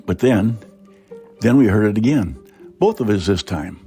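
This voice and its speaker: A man's voice: on the low side, normal speed, faint music behind. A man reading his own short story aloud in a dramatic, suspenseful first-person narration. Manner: expressive, suspenseful.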